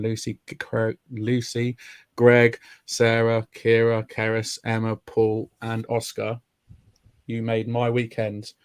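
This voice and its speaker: A man hosting a podcast in casual chat, raspy from a chest infection. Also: medium pitch, a little mellow, no room sound. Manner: chatty, friendly, many ums. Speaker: podcast host. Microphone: remote call mic.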